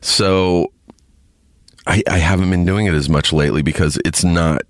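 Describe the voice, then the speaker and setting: A man hosting a podcast alone, chatting casually to his listeners in a halting, rambling way with lots of fillers, a bit sniffly from congestion. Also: sits low, steady tone, dry recording, clean studio sound. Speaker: podcast host. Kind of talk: casual solo chat to listeners.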